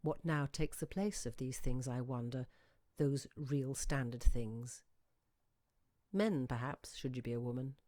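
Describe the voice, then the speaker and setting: A woman reading a literary short story aloud in a reflective, deliberate way, with expressive pauses and emphasis. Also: very deep voice, no room sound. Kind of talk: expressive literary audiobook narration.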